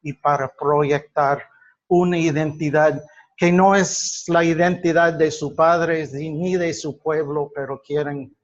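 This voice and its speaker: A middle-aged or older man from the United States, lecturing to a class in a halting, deliberate way with drawn-out pauses. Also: fairly high pitch, fairly even intonation. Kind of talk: explanatory class lecture with personal examples. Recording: dull lo-fi sound.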